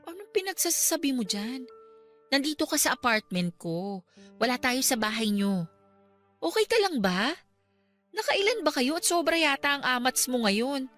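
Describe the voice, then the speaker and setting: A woman's voice: high-pitched, big pitch swings, moderate pace, pauses often, a bit bright. A Filipino actress in a radio drama playing a caring, exasperated young girlfriend, nagging yet tender. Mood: concerned. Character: caring, exasperated young girlfriend looking after her man. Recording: music behind.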